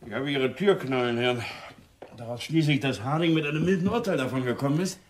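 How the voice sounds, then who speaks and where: A man's voice: medium pitch, brisk, close to an everyday voice. A man playing a fussy, indignant police superior in a German radio crime drama, formal and disapproving. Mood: reproachful. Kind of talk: German radio play acting.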